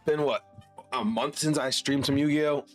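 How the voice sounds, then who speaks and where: A man's voice: fairly high pitch, steady tone, normal speed, soft background music. A man streaming casually to his chat while congested and sick, laid-back and swearing now and then.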